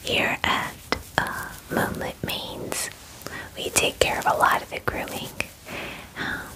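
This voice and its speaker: Woman acting a slow whispering werewolf spa groomer. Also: low-quality recording. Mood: soothing, reassuring. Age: 20s. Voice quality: breathy whisper. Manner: slow, soothing, repeats words softly, hesitant pauses. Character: gentle, attentive female werewolf spa groomer. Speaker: ASMR roleplay performer. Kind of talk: ASMR whispered roleplay. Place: small dim indoor room. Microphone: close microphone, facing camera.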